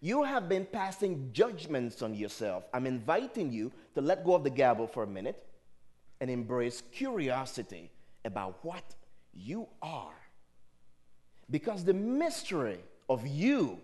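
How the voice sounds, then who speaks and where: A man's voice: medium pitch, very animated, unhurried, a little mellow. A man from Liberia with a Liberian accent, a native speaker of a Liberian dialect, preaching emphatically to a live audience and putting rhetorical questions to them. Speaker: spiritual speaker. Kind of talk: motivational sermon to a live audience.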